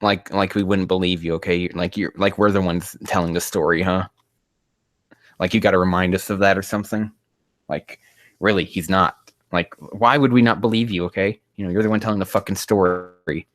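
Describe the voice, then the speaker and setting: A man's voice: low voice, steady tone, normal speed, dry recording. A man reading fan fiction aloud and mocking it casually, rambling and swearing in exasperation, stumbling over the text. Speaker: host reading and critiquing amateur fan fiction.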